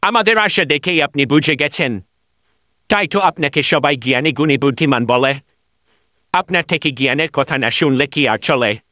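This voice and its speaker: A man playing an eager, flattering villager in a cartoon, speaking in an emphatic, insistent way. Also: a little high, normal speed, dry recording, clean studio sound. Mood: respectful, eager. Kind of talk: animated cartoon dialogue.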